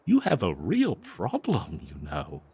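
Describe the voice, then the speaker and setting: A man voicing a possessive, bloodthirsty, lovesick talking cursed dagger in an audio roleplay, speaking to the listener in a theatrical, doting yet menacing manner with halting hesitations. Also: low voice, wildly swooping pitch, gravelly, warm tone, breathy edge, no room sound, exaggerated voice. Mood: indulgent, condescending.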